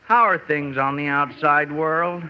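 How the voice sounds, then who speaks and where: An actor playing a meek, earnest old guard in a 1950s radio comedy. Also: male voice, fairly high pitch, a little slow, lightly acted. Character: naive old vault guard living underground, meek and earnest. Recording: noisy, dull 1950s radio recording.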